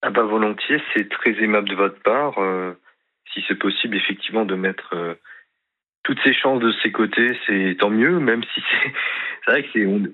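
A man calling in to a radio show, speaking hesitantly and haltingly, full of fillers. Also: on the low side. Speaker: caller. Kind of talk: phone-in conversation. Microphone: telephone. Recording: tinny phone line.

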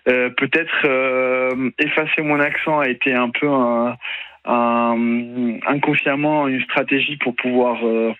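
A young man from Italy with no accent, speaking haltingly as a radio interview guest, full of fillers and restarts. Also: medium pitch, fairly even intonation, no echo. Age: young adult. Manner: hesitant, many fillers and restarts. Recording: dry tinny phone-like radio sound.

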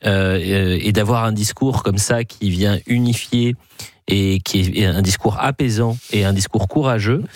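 A man's voice: on the low side, measured pace, no echo, clean studio sound. A man hosting a radio talk show, speaking earnestly in long, winding sentences. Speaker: radio host. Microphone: studio microphone.

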